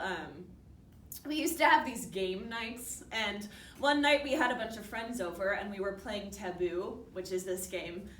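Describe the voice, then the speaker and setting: Woman doing a chatty nervous widow in a stage monologue, halting, kinda high voice, echoey room. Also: a bit bright. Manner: halting, conversational, with nervous asides. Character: grieving upbeat widow from Seattle, chatty and nervous. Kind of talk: dramatic monologue staged as a documentary interview. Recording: distant roomy hall recording with faint noise.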